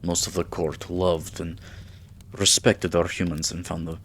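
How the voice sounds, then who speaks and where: A man playing a regal, possessive, doting vampire king in an intimate ASMR roleplay, with lordly, drawn-out phrasing and murmurs. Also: deep voice, flat delivery, a bit bright, slight background noise. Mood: exasperated.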